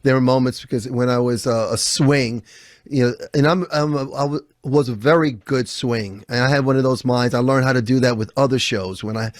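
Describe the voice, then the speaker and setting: A man's voice: medium pitch, steady tone, brisk, no echo, clean studio sound. An American man hosting an interview podcast, chatty and encouraging, often restarting his sentences. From United States. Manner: friendly, rambling with restarts, affirming. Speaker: podcast host. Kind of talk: casual interview conversation.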